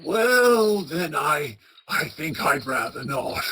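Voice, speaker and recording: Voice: mid-range voice, very animated, takes it slowly, bright, forceful tone, full character voice. An actor playing a crotchety, frightened old male miser in a radio play, stammering and blustering as he speaks. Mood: anxious. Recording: clearly noisy track with ambience.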